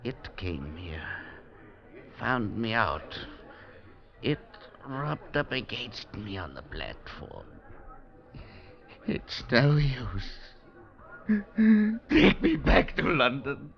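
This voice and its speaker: An actor playing a haunted, terrified older professor in a 1940s radio drama, halting and breaking off his words in dread. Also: mid-range voice, big pitch swings, vocal fry, a bit bright, faint music behind, full character voice. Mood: panicked, broken. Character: haunted aging male professor, terrified and shaken. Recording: dull old radio broadcast.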